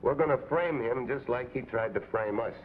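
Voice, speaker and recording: A man's voice: mid-range voice, normal speed, lightly acted. A man playing a confident, scheming American secret agent in a terse, hard-boiled way, in the style of a 1950s adventure show. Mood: calm resolve. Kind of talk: 1950s TV adventure drama acting. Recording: tinny, noisy old TV soundtrack.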